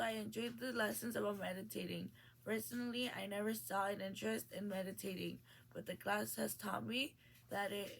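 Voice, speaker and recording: Earnest teenage girl with a gravelly edge. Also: mid-range voice, slight background noise, low-quality recording. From Mexico. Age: late teens. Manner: earnest, reads with small restarts. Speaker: graduating high school student. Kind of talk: reading a prepared personal speech.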